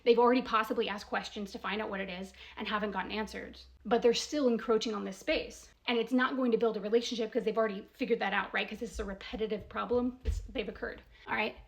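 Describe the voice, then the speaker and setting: A woman's voice: mid-range voice, fairly even intonation, quick pace, gravelly, few pauses. A woman giving a casual spoken analysis as a commentator, reasoning step by step with emphasis, asking probing rhetorical questions and often checking in with "right?". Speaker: commentator breaking down a voicemail.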